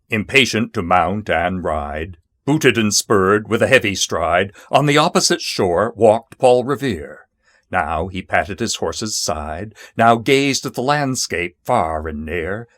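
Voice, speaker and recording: A man reciting a narrative poem in a measured, theatrical storytelling manner. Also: fairly low pitch, a bit bright. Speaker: poetry reader. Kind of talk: dramatic poetry recitation.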